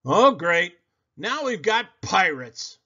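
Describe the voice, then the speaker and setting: A man reads a children's picture book aloud with a widely swooping, highly animated pitch. His delivery is theatrical and expressive, with words drawn out for effect. The recording sounds a little dull and lo-fi. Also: takes it slowly. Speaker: storybook reader. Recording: slightly dull, lo-fi audio.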